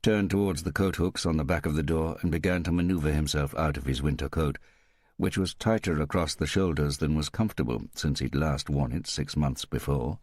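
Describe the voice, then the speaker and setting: A man reading a novel as an audiobook narrator in a measured storytelling manner. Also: very deep voice, darkish tone, no echo, clean studio sound.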